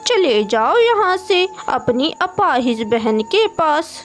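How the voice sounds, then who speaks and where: Blunt crow playmate for kids' animation, acted by a woman: high pitch, deliberate pace. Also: big pitch swings, full character voice. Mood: scornful. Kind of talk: children's cartoon dubbing in Hindi. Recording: music behind the voice.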